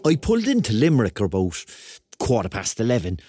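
Rambling, excitable Cork lad, swooping pitch. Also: male voice, a little fast, background noise. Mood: confiding, restless. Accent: Cork Irish. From Cork, Ireland. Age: 30s. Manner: colloquial, digressive, conversational. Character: rambling, excitable thirty-year-old Cork man with odd logic. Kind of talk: first-person radio drama narration and dialogue.

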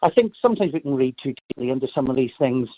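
A man calling a radio phone-in, giving his opinion in a measured, reflective way. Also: medium pitch, expressive, no echo. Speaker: caller. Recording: phone line.

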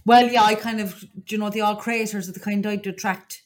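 A woman's voice: mid-range voice, steady tone, a little fast. An Irish woman with a Cork accent co-hosting a podcast in a casual, gossipy, confiding way. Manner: chatty, colloquial, confiding. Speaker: podcast co-host.

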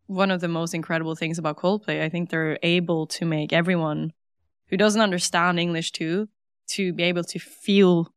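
Smooth-voiced young Norwegian woman, dry close sound. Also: fairly low pitch, fairly even intonation, normal speed, dry recording. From Norway. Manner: warm, eager, many "like" fillers. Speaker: guest, singer. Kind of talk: casual interview.

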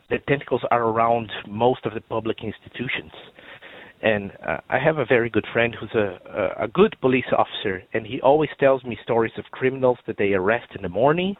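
A man's voice: fairly low pitch, dry recording. A man from Brazil with a Brazilian accent, calling in to a radio phone-in and talking earnestly. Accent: Brazilian Portuguese. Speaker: caller. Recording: tinny phone line.

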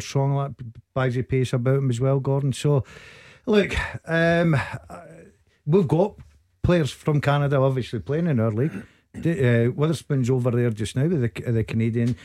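A man's voice: medium pitch, normal speed, mellow. A Scottish man, a football pundit on a radio show, joking and defending himself in studio banter.

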